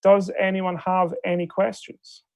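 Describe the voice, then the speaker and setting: Man, gravelly voice, polite hesitant briefing, dry video call audio. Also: high voice, monotone, measured pace, no room sound. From Scotland. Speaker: debate adjudicator, chair. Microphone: video call microphone.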